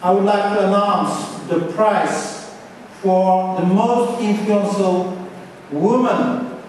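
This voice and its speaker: A man presenting an award from a podium, announcing the winner in slow, halting, deliberate phrases. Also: high-pitched, steady tone, darkish tone, a little hiss or hum. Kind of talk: formal announcement. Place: large hall, on stage at a podium. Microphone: podium mic, camera far off. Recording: echoey hall recorded from far off.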